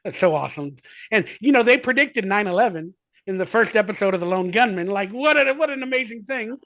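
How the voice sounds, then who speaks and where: Chatty high-voiced man in his forties. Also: lively intonation, fairly quick, fairly bright tone, no room sound. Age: 40s. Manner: rambling, enthusiastic, full of "like" fillers. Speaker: guest actor. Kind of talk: casual remote interview. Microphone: video call.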